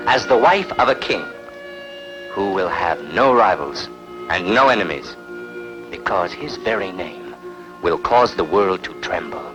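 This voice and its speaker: Ruthless would-be king, played by a male actor, declamatory epic-film style, widely swinging pitch, music underneath. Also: rough recording. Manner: grand, declamatory, dramatic. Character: ambitious, ruthless, proud conquered warlord plotting to seize a throne. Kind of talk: dubbed historical epic film acting.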